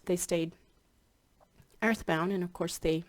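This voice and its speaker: A somewhat low-pitched woman talks casually to her followers, her voice a bit stuffy and scratchy from congestion. She rambles along, pausing with frequent ums and restarting her sentences. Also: fairly even intonation. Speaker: spiritual hypnotherapy practitioner. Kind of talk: casual chat to camera.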